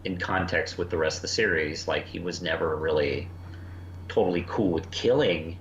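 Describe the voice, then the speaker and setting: A man co-hosting a casual review podcast, speaking in a very deep voice and halting, restarting phrases. The sound is roomy, with faint noise behind him. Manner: halting, hesitant, often restarting sentences. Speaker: podcast co-host reviewing cartoons. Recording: roomy, muffled, noisy home recording.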